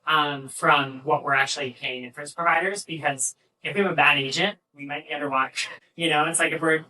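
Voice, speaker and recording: A man with a bright, forward voice pitched a little high, recorded from a distance at a live event. He speaks as a panelist, explaining things in a halting, conversational way. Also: steady tone. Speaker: panelist, AI agent engineer. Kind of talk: fireside chat answers. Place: live fireside chat event. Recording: distant mic, poor event audio.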